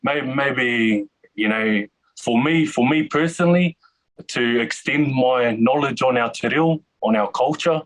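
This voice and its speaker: A man's voice: mid-range voice, measured pace, poor audio. A Māori man from New Zealand talking hesitantly in a casual interview over a video call, with many restarts. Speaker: detainee interviewee.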